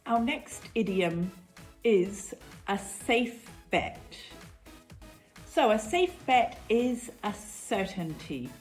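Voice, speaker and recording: Slow, measured New woman teacher. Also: medium pitch, sing-song, a little mellow, faint music behind. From New Zealand. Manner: clear, measured explanations with repeated examples. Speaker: English teacher. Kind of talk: online English lesson.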